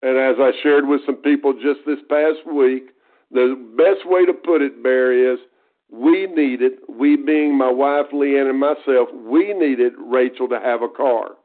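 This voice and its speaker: A man's voice: mid-range voice, unhurried. Earnest East Texas man on a phone line. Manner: folksy, earnest, restarts phrases. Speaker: guest speaker. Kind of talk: conference call talk. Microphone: telephone.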